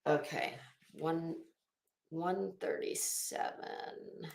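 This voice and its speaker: Female commentary host, sarcastic and sweary, unhurried delivery, casual, recorded in an echoey room. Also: low-pitched, fairly even intonation, creaky. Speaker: online show host. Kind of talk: casual commentary on another online personality.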